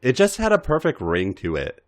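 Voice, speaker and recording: A man's voice: mid-range voice, huge pitch swings, fairly quick. A man reading adult fiction aloud as a dramatic narrator, acting out the dialogue theatrically. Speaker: podcast reader.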